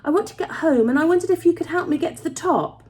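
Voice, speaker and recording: A woman's voice: extremely high pitch, fast, darkish tone, voice pushed up. A woman voicing a shy, polite, nervous little girl in a children's story. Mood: tentative, anxious. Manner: tentative, hesitant.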